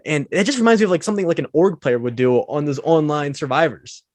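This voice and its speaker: A man co-hosting a podcast who tells stories in a relaxed way, acting out quoted asides. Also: on the high side, fast talker, no echo. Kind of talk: casual podcast chat.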